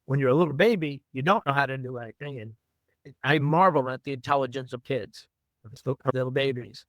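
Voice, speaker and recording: A man's voice: medium pitch, fairly bright tone, no echo. Older man recalling his running days. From Brooklyn, New York. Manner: unhurried, fond, with many "you know"s. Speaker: interview guest, former runner and coach. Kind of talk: reflective storytelling.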